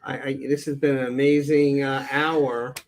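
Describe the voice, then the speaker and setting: A man's voice: medium pitch, little pitch movement, measured pace. A man hosting an interview show, closing the segment with short affirming replies. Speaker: show host. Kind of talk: interview conversation.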